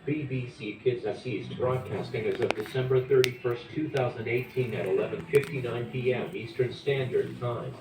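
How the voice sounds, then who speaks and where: A man's voice: medium pitch, normal speed, few pauses. Stiff man reading an emergency alert. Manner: stiff, repetitive. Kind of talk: flat emergency broadcast read. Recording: echoey, distant, noisy.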